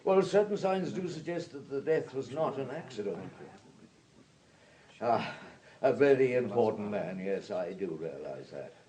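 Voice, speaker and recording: A man acting a police superintendent in a radio play. He is authoritative and brisk, putting pointed questions and giving clipped orders in a medium-pitched voice. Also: animated, darkish tone, close to an everyday voice. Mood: firm, polite. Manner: commanding, questioning, hesitant murmurs between orders. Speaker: detective superintendent.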